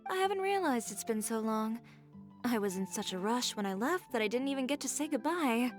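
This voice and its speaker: Casting: self-assured young dressmaker played by a woman, slightly high voice, blunt and matter-of-fact, faint music behind. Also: expressive, brisk, lightly acted. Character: cool, blunt, composed young female seamstress. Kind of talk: video game voice acting. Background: game music.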